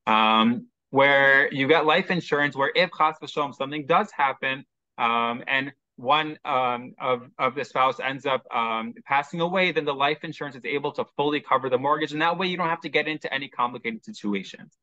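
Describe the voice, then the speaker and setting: A man's voice: medium pitch, normal speed, pushed and bright, no echo, highs cut off. A man from Chicago with a Chicago American accent, a mortgage specialist guest explaining step by step with frequent ums. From Chicago, USA. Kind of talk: explanatory interview talk.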